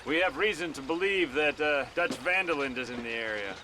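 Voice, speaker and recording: An actor playing a condescending government agent in a video game, speaking in a curt, official way. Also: male voice, on the high side, a little fast, pushed and bright. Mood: stern. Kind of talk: dramatic video game acting. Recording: noisy outdoor game ambience.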